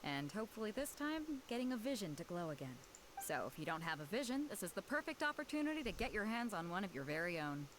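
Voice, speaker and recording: Lively, confident woman captain. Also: mid-range voice, quick pace, a little hiss or hum, rough recording. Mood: persuasive. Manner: breezy, self-assured, persuasive. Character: confident, breezy woman captain organizing a fighting tournament. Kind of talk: anime video game voice acting.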